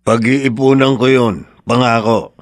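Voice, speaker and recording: An actor playing a hot-tempered, hard-drinking Filipino father with a soft spot for his daughter, in a radio drama. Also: medium pitch, fairly even intonation, a little slow, no room sound, clean studio sound, close to an everyday voice. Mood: tender, apologetic. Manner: combative, wheedling, apologetic with his child.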